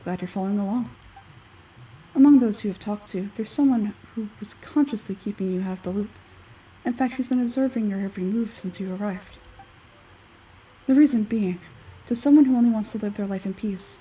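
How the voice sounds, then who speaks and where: A woman voicing an aloof, logical, smug scholarly man from a game, measured and condescending, with a husky forced-low tone. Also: dark tone, distant mic, low-quality recording. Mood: measured, explaining.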